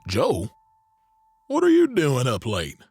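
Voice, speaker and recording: An actor playing a gruff but kindly barkeep in an audio drama, wry and patient as he listens. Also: mid-range voice, huge pitch swings, slow, darkish tone, no room sound, faint music behind, heavily acted. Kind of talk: dramatic dialogue in fiction podcast.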